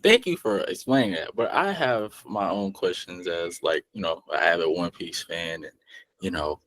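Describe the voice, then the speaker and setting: Very deep-voiced man, halting questions. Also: expressive, a bit bright. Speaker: co-host. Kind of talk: casual podcast interview.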